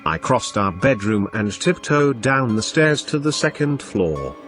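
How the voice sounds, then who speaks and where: A man reading a scripted first-person horror story as an expressive narrator. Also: mid-range voice, a little slow. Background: background music.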